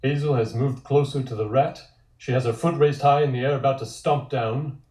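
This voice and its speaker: A man narrates a comic script's scene directions. His voice is mid-pitched and mellow, recorded in an echoey room. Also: steady tone, normal speed. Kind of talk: reading comic panel directions at a table read.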